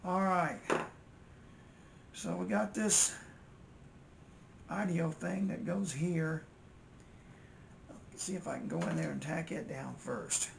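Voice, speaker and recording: Older American man, gravelly and creaky. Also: fairly high pitch, takes it slowly, pauses often. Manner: folksy, thinking aloud. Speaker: electronics repair hobbyist and video host. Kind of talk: casual muttered narration while working at repairs. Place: indoors at a repair workbench. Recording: steady noise, home-made workbench recording.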